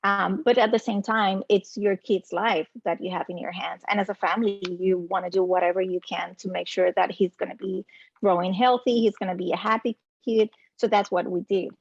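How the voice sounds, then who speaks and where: A woman's voice: medium pitch, steady tone, vocal fry, husky, no room sound. Middle-aged woman guest with a Puerto Rican accent, earnest storytelling on a lo-fi, dry video call line. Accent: Puerto Rican Spanish. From Puerto Rico. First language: Spanish. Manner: earnest, measured, frequent ums. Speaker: guest, nonprofit program manager. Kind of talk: interview storytelling.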